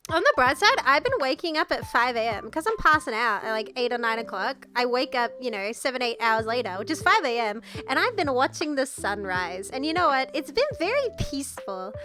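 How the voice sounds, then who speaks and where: High-pitched Australian woman, stuffy nose. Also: sing-song, a little fast, few pauses, sharp tone. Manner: giggly, rambling, swears casually. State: congested and nasal from the flu. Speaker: streamer. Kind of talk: casual chat reading viewer messages. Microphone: VR headset mic. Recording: streamed with background music. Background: music playing.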